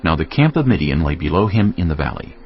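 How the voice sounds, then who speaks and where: A male narrator with a deep, creaky voice and highly animated delivery. He reads scripture as dramatic storytelling over music. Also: quick pace. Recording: muffled audio under music.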